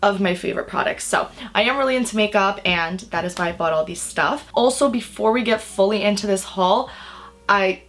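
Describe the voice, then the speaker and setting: A young woman vlogging about makeup she bought, her voice raspy and hoarse from a cold, chatting in a bubbly, rambling way. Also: medium pitch, fairly quick, a bit bright. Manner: bubbly, rambling, lots of "like" and "really, really". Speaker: beauty vlogger. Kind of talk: casual chatty haul video. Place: indoors under filming lights.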